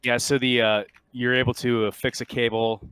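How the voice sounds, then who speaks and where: A man working as game master, narrating a tabletop game in a casual, halting, conversational way. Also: mid-range voice, flat delivery, a bit bright, clear, resonant tone.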